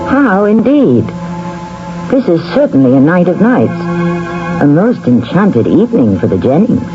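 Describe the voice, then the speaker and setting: Music plays clearly behind a man narrating a vintage radio mystery on a muffled recording. His fairly high voice is arch and theatrical, with literary, scripted phrasing. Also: big pitch swings, measured pace.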